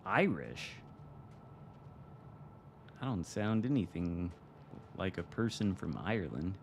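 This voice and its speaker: A man from Michigan with an American accent, commenting casually while streaming a game from home, wry and relaxed. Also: sits low, slow, slight background noise. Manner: relaxed, wry, reacting to the game with mild swearing. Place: home room.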